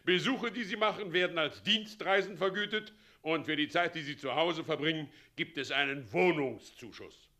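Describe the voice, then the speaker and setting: An actor playing a scheming, pompous middle-aged president in a German radio play, swinging theatrically from commands to wheedling. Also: male voice, fairly high pitch, normal speed, pushed and bright. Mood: grandly persuasive. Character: scheming, pompous tyrant president, doting mockingly on his son.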